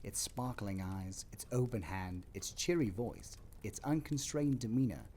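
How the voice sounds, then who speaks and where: Low, lively male storybook narrator. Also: measured pace, a little hiss or hum. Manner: expressive, theatrical. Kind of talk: scripted dramatic storytelling narration.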